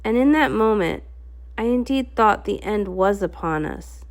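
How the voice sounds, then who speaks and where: A woman reading a comic aloud as expressive first-person storybook narration. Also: mid-range voice, a little slow. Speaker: storybook reader. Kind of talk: expressive scripted narration, comic read-along.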